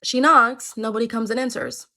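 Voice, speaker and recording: A woman's voice: fairly high pitch, steady tone, moderate pace, bright, forceful tone. Chatty woman telling folktales. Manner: chatty, with joking asides to listeners. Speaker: storyteller. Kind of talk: casual folktale storytelling.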